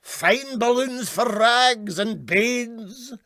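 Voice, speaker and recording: A man doing a high, mocking Scottish engineer. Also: drawn-out words, fairly bright tone, dry recording, studio quality, heavily acted. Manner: derisive, sardonic.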